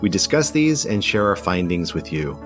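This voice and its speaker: Low-voiced man hosting a podcast. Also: big pitch swings, moderate pace. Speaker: podcast host and producer. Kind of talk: relaxed podcast narration and storytelling. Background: music.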